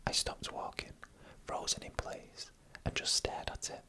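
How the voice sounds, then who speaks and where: An ASMR storyteller speaks entirely in a whisper. The sound is bright and sharp-edged. The delivery is hushed and deliberate, drawing out suspense as a scripted narration.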